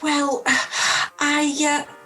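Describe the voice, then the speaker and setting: A woman's voice: sits high, monotone, very slow, music in the background. A woman acting a chatty, cheeky British deli owner in a radio play, theatrical and jokey, recorded over a video call. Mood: sheepish confessing.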